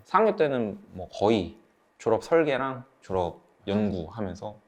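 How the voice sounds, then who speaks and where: A man's voice: fairly low pitch, sing-song, normal speed, a little mellow. A man in his thirties from South Korea telling personal stories in a casual group chat. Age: 30s. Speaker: channel member sharing study-abroad experience.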